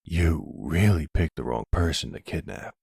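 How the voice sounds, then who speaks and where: Guy doing a tough older mafia boss, deep n gravelly, streetwise threats, asmr roleplay. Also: expressive, normal speed, darkish tone, dry recording, studio quality, exaggerated voice. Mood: menacing, sardonic. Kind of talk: ASMR roleplay audio drama.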